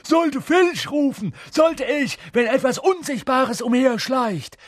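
A nasty little storybook poltergeist with a shrill, strained voice. The pitch sits very high and leaps about wildly. Words are stretched and exaggerated, mischievous and oily. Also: male voice, lively intonation, no echo, studio quality, full character voice. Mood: taunting, menacing. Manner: exaggerated, swooping, drawn-out words. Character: mean, mischievous male poltergeist, taunting then slimy and servile.

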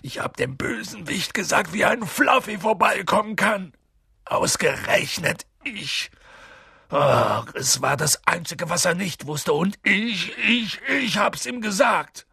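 A man voicing a huge, soft-hearted, guilt-ridden bearded man in an audiobook, with rough colloquial, halting speech. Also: sits low, dry recording, clean studio sound, exaggerated voice. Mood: sobbing, remorseful. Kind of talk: audiobook character voice.

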